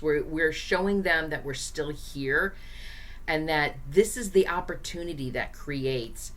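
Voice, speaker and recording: A woman with a low, hoarse voice walks small business owners through marketing ideas. She talks straight to the listener in an easygoing, encouraging way and often fills pauses with casual asides. A faint hiss sits behind her. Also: a bit bright. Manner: chatty, direct, encouraging, with frequent fillers. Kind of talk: casual teaching to camera.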